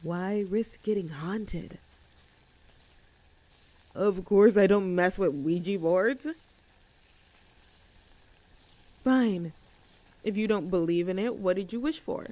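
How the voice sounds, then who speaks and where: A woman's voice: mid-range voice, slow pace, long pauses, dark tone, no echo, close to an everyday voice. A woman voicing a cheerful, easygoing young tomboy friend in an intimate roleplay audio drama, talking in a casual, chatty and affectionate way. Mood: playful, earnest.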